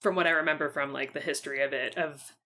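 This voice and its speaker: A woman interviews in a casual podcast style. Her voice sits fairly low but has a bright, forward edge. She phrases questions haltingly and tentatively, circling back to reword them. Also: steady tone.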